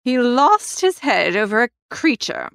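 A woman's voice: fairly high pitch, very animated, unhurried, vocal fry, a bit bright, clean tone, no room sound, studio quality, full character voice. A woman playing a bossy, formidable older upper-class aunt in a comic audiobook, scolding and emphatic. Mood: worried, disapproving.

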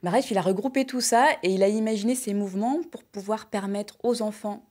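A woman in her thirties, a health and wellness columnist on a morning TV show, explaining and demonstrating simple exercises in a clear, upbeat way, wearing a lapel mic in a bright studio. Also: mid-range voice, fairly quick. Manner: clear, upbeat explainer, lists items with steady rhythm.

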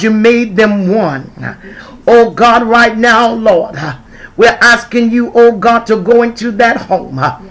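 A woman leads a fervent prayer at an unhurried, drawn-out pace. She chants her phrases, filling each gap with "uh" and "oh God", and builds from hushed pleading to crying shouts. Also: fairly high pitch. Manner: chanting cadence, constant "uh" and "oh God" fillers, rising to shouts and cries. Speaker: prayer leader.

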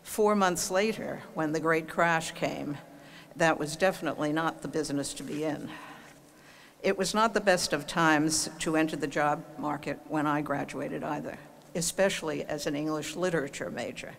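An older woman giving a formal commencement speech from an auditorium podium, telling stories from her life in a measured way. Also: low-pitched, creaky, slight background noise. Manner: measured, anecdotal storytelling. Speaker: commencement speaker. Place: large auditorium stage. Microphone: podium mic on stand.

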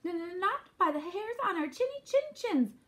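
A woman's voice: extremely high pitch, very animated, very slow, pitched above the performer's own voice, exaggerated voice. A woman voicing a carefree, easily frightened young male pig from a storybook, squealing and exclaiming. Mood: terrified. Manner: squealing, exclaiming, stammering when scared.

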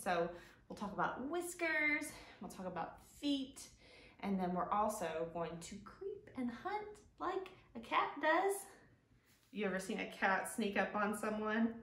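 Expressive storytime woman with lively, swooping pitch. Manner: expressive, simple child-directed delivery with drawn-out key words. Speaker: children's librarian, storytime host. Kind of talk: storytime presentation and picture-book read-aloud for young children. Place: living room at home, indoors. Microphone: camera a few feet away. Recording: roomy sound from camera mic.

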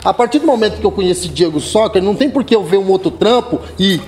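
A man's voice: high voice, lively intonation, fast talker, a little mellow. A man guest-rapping on a panel chat, slangy and loose, with many restarts and "tá ligado". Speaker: guest rapper. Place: community center room, seated on sofa with panel.

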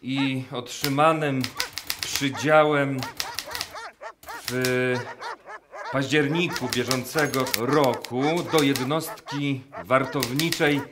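An actor playing an obsessive, officious military ornithologist in a radio drama, speaking in a deliberate, precise manner with menacing tenderness. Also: male voice, mid-range voice, noisy background. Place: performed live in a wooden barn.

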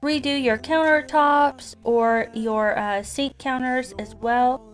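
A woman's voice: high voice, steady tone, slow pace. A woman in her thirties with a Southern US accent, chatting casually about her shopping haul in a parked car. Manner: chatty, friendly, addresses viewers as y'all. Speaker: vlogger. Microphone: camera on dashboard.

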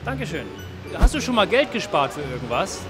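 A middle-aged man works as a street reporter. He interviews children in a friendly, encouraging way, outdoors on a city street with music clearly behind him. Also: sits high, very animated, a little fast. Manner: upbeat, encouraging. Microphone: handheld mic with windscreen. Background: music, street and traffic sounds.

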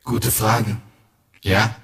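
A man's voice: on the low side, level tone, extremely slow, a bit bright, exaggerated voice. A man playing a cryptic online stranger in a radio drama, speaking in terse, oracular phrases with an echo. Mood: cryptic, calm. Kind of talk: radio drama, voiced chat messages. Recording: echoing, reverberant voice.

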